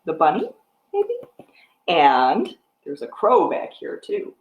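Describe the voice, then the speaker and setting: A middle-aged American woman speaks to young children in a storytime style, her pitch moving in lively swoops. She explains things simply and puts questions to the listener. The sound is roomy, picked up by a device microphone indoors. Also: takes it slowly, dull audio, a little hiss or hum. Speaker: children's librarian hosting storytime. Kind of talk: picture-book read-aloud and craft talk to young children. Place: room at home with wooden floor and piano. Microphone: device microphone at about arm's length.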